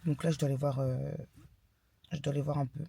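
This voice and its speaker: A young woman chatting casually in a vlog, with a stammer that makes her repeat and restart words in a halting way. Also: a bass voice, flat delivery, creaky, mellow, husky, no room sound. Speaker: lifestyle vlogger, mother of young children. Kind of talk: casual chat to camera.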